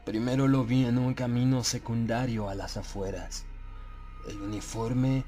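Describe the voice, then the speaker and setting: A man narrating a horror audiobook in a solemn, measured, suspenseful way. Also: medium pitch, fairly even intonation, mellow. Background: prominent background music.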